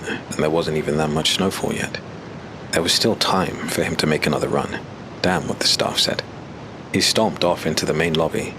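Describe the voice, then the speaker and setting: A man narrating a dark fantasy audio drama in a measured, storytelling way. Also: a bass voice, background noise.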